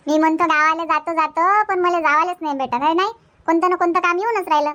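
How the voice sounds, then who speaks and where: Eager young village daughter, cartoon comedy, female performer: extremely high, chirpy childlike voice, Varhadi Marathi accent. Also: fast, pushed and bright. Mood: chatty. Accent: Varhadi Marathi (Vidarbha). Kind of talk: homemade cartoon comedy dub.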